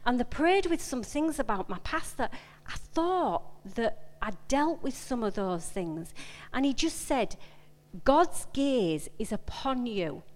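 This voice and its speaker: A woman preacher with a Yorkshire accent speaks in a slightly high-pitched voice that carries long hall reverb. She delivers an informal sermon, conversational and emphatic, circling back on phrases and addressing her listeners directly. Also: lively intonation, takes it slowly. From Yorkshire, England. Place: large indoor meeting room.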